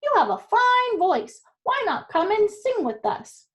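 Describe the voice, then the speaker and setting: A woman's voice: very high voice, sing-song, a little slow, voice pushed up, full character voice. A woman voicing a cheerful male donkey who brays and leads the others eagerly. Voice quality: braying. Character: loud braying male donkey, cheerful leader.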